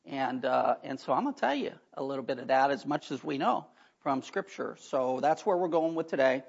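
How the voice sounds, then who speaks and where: A hoarse man preaches a sermon in a church, his voice gravelly and rough. He talks in a folksy way and breaks off for chatty asides. The recording is dull and lo-fi. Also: mid-range voice, animated, brisk. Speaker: pastor. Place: church sanctuary.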